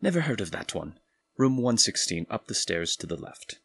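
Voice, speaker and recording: An actor playing a prim, put-upon hotel desk clerk in a 1920s audio drama, formal, fussy and deadpan. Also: male voice, fairly low pitch, normal speed, vocal fry, husky, heavily acted. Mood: dry.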